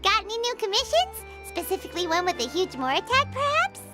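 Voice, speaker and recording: Squeaky, bubbly pint-sized fairy sidekick, very high and lively; game audio over loud music. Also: female voice, fairly quick. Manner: bubbly, speaks of herself in third person. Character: tiny floating female fairy companion, chirpy and eager.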